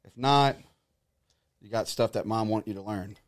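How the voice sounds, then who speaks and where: A man's voice: lowish voice, normal speed. A man in his thirties casually reminiscing as a podcast co-host. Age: 30s.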